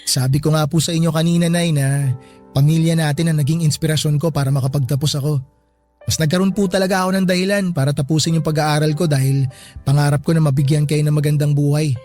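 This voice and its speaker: A man's voice: fairly high pitch, flat delivery, fast, darkish tone, faint music behind, close to an everyday voice. A young man playing an earnest, respectful Filipino son, a new graduate, in a radio drama. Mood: devoted.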